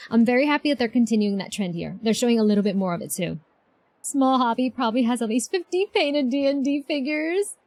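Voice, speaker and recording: A woman's voice: on the high side, animated, brisk, darkish tone. A woman streaming a game, chatting casually and effusively, repeating herself as she reacts. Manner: effusive, repeats herself, talks back to characters. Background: game music.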